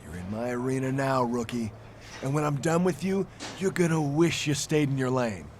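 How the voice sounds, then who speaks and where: A man's voice: medium pitch, expressive, normal speed, a little mellow, slight background noise, natural voice. A man playing an arrogant star wrestler in a video game cutscene, condescending and scornful. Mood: scornful, threatening. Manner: cocky, condescending.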